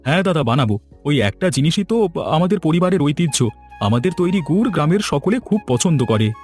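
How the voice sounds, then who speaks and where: A man's voice: a little high, very animated, brisk, darkish tone, faint music behind. A man playing a friendly, proud village palm-sap tapper in a cartoon, with a folksy manner. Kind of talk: cartoon dialogue.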